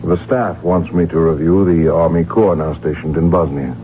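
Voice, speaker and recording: An actor in a radio drama playing a proud, stubborn but affectionate archduke, speaking in a measured, formal, aristocratic manner. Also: male voice, low voice, steady tone, close to an everyday voice. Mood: affectionate, calm. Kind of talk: 1970s radio drama acting. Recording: dull, noisy old radio broadcast recording.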